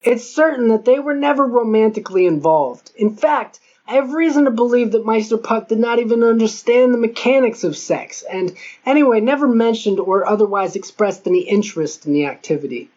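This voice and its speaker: A woman's voice: fairly high pitch, a little fast, few pauses, warm tone. A woman reading a first-person novel aloud as an audiobook narrator. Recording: dull lo-fi audio.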